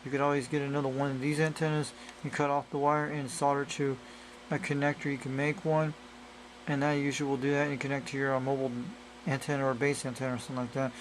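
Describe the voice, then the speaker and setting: A man's voice: medium pitch, level tone, fast. A man giving a casual hands-on demonstration as a hobbyist, explaining in a rambling, repetitive way on a noisy amateur camera recording. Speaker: radio hobbyist presenter.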